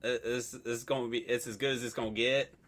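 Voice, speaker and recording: A man's voice: medium pitch, flat delivery, quick pace, over a noisy crowd. A man hosting a live trading stream, chatting casually and teasing his guest. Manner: laid-back, jokey, teasing. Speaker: host. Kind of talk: casual interview chat.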